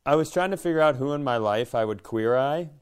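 A man's voice: mid-range voice, lively intonation, a little fast, clean tone. A man co-hosting a podcast, chatting casually and telling comic stories with some swearing. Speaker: podcast co-host. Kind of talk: casual comedic banter.

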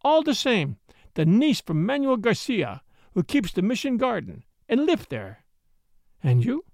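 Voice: mid-range voice, wildly swooping pitch, measured pace, creaky, darkish tone, dry recording, clean studio sound, pitched above the performer's own voice, heavily acted. A narrator voicing a pert, childlike young Mexican woman in a storybook, speaking broken English with a Spanish accent and a teasing lilt. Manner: saucy, lilting, childlike.

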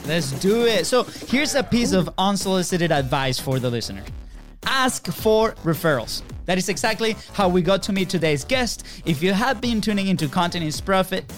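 A man with a high voice co-hosts a podcast, upbeat and quick to laugh. He fills his sentences with fillers and casual asides, and faint music sits behind him. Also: expressive. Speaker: podcast co-host.

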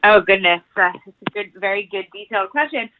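A woman's voice: mid-range voice, brisk, a little hiss or hum. A woman from the Philadelphia area, stuffed up and nasal with a cold, chatting through her career story as a music publicist in an interview. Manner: chatty, rambling with fillers and restarts. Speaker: music publicist, podcast guest. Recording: tinny phone-like line.

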